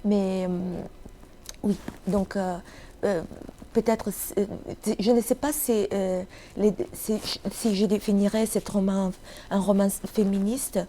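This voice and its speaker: Mellow-voiced middle-aged woman. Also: mid-range voice, steady tone, a little hiss or hum. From Somalia. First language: Italian. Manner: halting, searching for words in a second language. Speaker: novelist being interviewed. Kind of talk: reflective interview answers.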